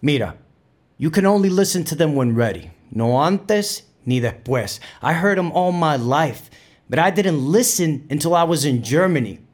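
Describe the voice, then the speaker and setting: A fiery, proud Bronx bandleader of Puerto Rican roots, tells his story in a theatrical monologue. His voice sits a little high, with a New York Puerto Rican accent. He moves between English and Spanish with passion. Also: animated, moderate pace. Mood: reflective, proud. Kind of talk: dramatic theatrical monologue for audio drama.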